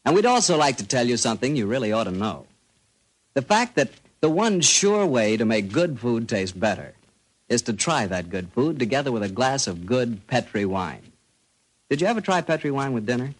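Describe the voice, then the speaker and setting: Lively American 1940s radio announcer man. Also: medium pitch, normal speed, low-quality recording. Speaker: radio announcer and sponsor pitchman. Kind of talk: 1940s radio announcing, folksy sales pitch.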